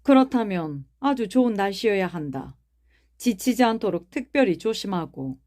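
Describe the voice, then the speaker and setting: A woman's voice: medium pitch, animated, moderate pace, warm tone, voice pushed up, lightly acted. Timid, hesitant middle-aged doctor, read by a woman narrator; audiobook. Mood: cautious. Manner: hesitant, mild. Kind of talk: audiobook character reading.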